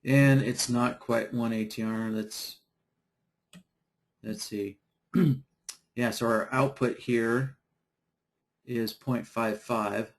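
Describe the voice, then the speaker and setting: A man teaching trading software in a live online session, losing his voice from a dry throat and clearing it often, talking in a halting, unhurried way. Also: mid-range voice, steady tone. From Idaho. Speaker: software trainer. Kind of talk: live webinar screen-share tutorial.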